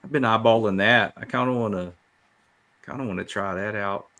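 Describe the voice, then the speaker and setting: A man's voice: fairly low pitch, moderate pace, sharp tone. A man. He talks casually and informally, in the manner of a podcast co-host in conversation. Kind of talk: casual chat.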